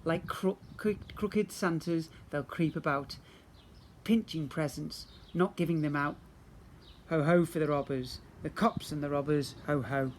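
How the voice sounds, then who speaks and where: A man's voice: fairly high pitch, measured pace, slight background noise. A man reading a rhyming storybook aloud to young children like a teacher, theatrically and with asides to his listeners.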